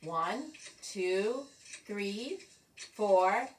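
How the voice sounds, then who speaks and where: A woman reading a children's picture book aloud in an expressive, deliberate storytelling manner with dramatic pauses. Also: mid-range voice, far from the mic, a little hiss or hum, rough recording. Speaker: storybook reader.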